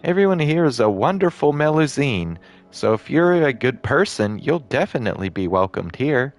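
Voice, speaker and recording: A man's voice: mid-range voice, sing-song, moderate pace, soft background music. A man reading a friendly village creature. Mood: cheerful. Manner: reading game dialogue aloud.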